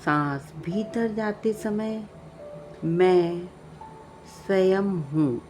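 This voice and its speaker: A woman narrating a guided meditation, giving calm, measured instructions with long pauses. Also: medium pitch. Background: background music.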